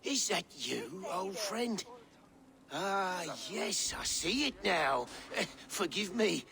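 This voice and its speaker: A man's voice: high voice, very animated, takes it slowly, gravelly, a crowd in the background, full character voice. An actor playing a proud, mocking deposed king in a video game, with a theatrical, mock-pious delivery. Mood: sardonic, grandiose. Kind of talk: dramatic video game acting.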